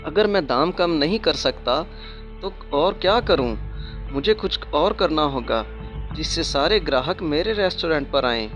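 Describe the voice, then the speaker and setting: A man plays an anxious yet hopeful husband and cook in a cartoon moral tale. His voice sits fairly high and sounds earnest and plaintive. Faint music runs behind him. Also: lively intonation, a little slow, a bit bright, dull audio. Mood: worried. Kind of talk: cartoon moral story dialogue.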